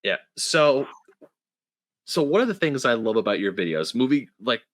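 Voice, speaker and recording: A man in his thirties hosts a casual gaming podcast, his bright, forward voice tumbling through stories full of restarts and fillers. The sound is close and dry, with no room around it. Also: mid-range voice, very animated, moderate pace, no room sound. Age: 30s. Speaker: podcast host. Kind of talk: casual chat.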